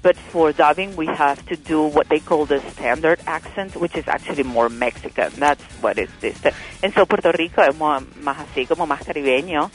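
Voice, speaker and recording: A woman from Venezuela with a Venezuelan Spanish accent, a Spanish-speaking dubbing actress calling into a radio show, hesitant and halting as she searches for words. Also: low voice, soft background music, rough recording. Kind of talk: phone-in chat.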